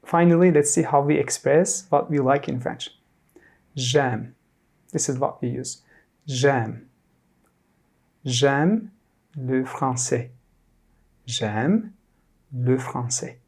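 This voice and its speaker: Slow, mellow teacher from Turkey, 30s, drills each word twice for beginners. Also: medium pitch. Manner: models each word clearly, then repeats it. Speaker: French teacher. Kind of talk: slow repeat-after-me language lesson.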